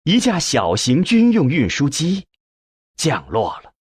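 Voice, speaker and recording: A man's voice: a little high, slightly rough, no room sound, clean studio sound. A man narrating a science fiction audiobook in the first person.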